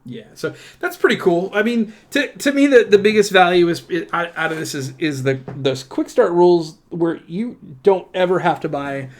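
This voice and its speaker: A man hosting a casual unboxing in an informal, enthusiastic and halting way, often letting his thoughts trail off. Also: high-pitched, animated. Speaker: host of a tabletop game unboxing channel. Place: indoors at a tabletop.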